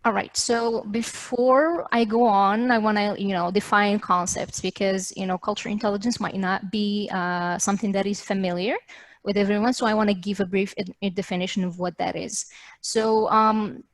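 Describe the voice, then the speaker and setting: A woman's voice: medium pitch, steady tone, moderate pace. A young adult woman from Morocco, presenting research in an explanatory way, hesitating and rewording as she goes. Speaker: doctoral student presenting research. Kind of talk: online academic slide presentation. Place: virtual meeting, video call.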